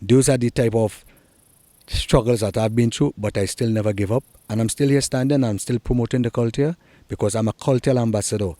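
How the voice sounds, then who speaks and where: A man's voice: mid-range voice, fairly even intonation, a little fast, dark tone, dry recording. A middle-aged man with a Trinidadian accent, answering interview questions in an earnest, encouraging way. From Trinidad and Tobago. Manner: earnest, encouraging, repeats key points. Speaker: soca artist guest.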